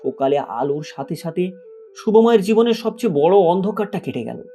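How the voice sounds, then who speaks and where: A man's voice: sits high, expressive, normal speed, dark tone. A man delivering scripted, dramatic narration for a moral story. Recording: background music under the voice.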